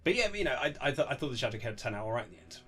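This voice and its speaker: A man being interviewed as an author, chatting casually and telling anecdotes in an animated, conversational way. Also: medium pitch, racing, husky. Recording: lo-fi amateur interview setup.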